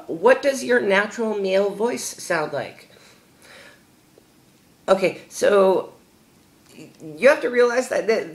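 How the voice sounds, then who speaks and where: A young adult woman answering viewer questions in a casual vlog. She talks candidly and haltingly, with many fillers and repeated words. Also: medium pitch. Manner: candid and chatty.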